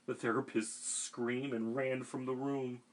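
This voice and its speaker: A man reading a picture book aloud for a children's storytime, animated and expressive. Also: medium pitch, a little slow.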